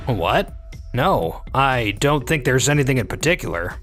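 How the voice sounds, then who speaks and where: Man acting as an animated, self-serving office schemer. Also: mid-range voice, unhurried. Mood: flustered, defensive. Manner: excuse-making, self-serving. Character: scheming, careless male office employee, defensive and ambitious. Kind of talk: anime-style manga dub dialogue and inner monologue. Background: background music.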